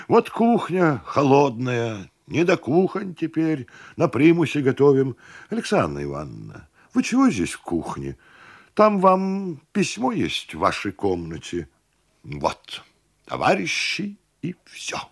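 A male reader plays a beleaguered tenant from a satirical story, complaining wryly. The delivery is slow, with plaintive swoops up and down in pitch. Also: huge pitch swings, breathy edge, exaggerated voice. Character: beleaguered, plaintive tenant showing off cramped rooms.

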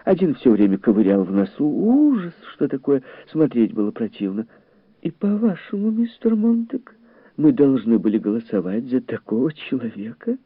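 Man voicing a gushing, high-pitched society lady. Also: sing-song, moderate pace, breathy edge, no echo. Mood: indignant. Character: chattering, smug, shallow society woman, easily scandalized. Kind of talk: audiobook character voice. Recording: narrow, phone-like lo-fi sound.

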